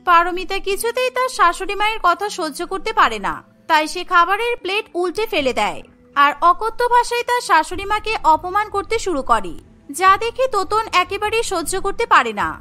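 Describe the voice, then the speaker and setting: A woman's voice: very high-pitched, big pitch swings, normal speed, pushed and bright, faint music behind. A woman narrating a scripted cartoon story as its storyteller.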